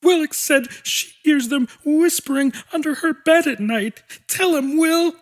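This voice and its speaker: A man's voice: very high voice, unhurried, pitched above the performer's own voice. A man voicing a small, frightened but defiant boy monster who stammers and pleads in an audiobook. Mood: anxious, insistent.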